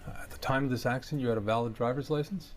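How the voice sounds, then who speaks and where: Lawyer, played by a male actor, quick delivery, procedural and probing, legal dramatization. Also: mid-range voice, natural voice. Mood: businesslike, probing. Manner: formal, procedural, persistent. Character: brisk, probing male defence lawyer questioning a witness. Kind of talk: legal examination in a training dramatization.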